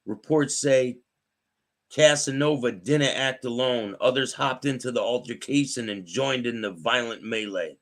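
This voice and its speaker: Raspy-voiced man hosting a live stream. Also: medium pitch, unhurried, fairly bright tone. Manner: reads news aloud, then greets viewers by name. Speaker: host. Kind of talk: live-stream news commentary and chat with viewers.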